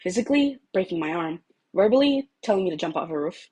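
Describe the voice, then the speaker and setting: Earnest, nervous teen boy in a storybook, husky, emphatic female reader acting him. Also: lowish voice, expressive, fast talker, dark tone. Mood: blurting, upset. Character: earnest, anxious, forgiving teenage boy student.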